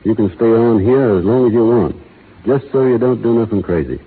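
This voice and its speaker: An actor playing a steady, earnest cowboy with a slow western drawl in a 1930s radio drama, tinny old broadcast sound. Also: male voice, medium pitch, slightly rough.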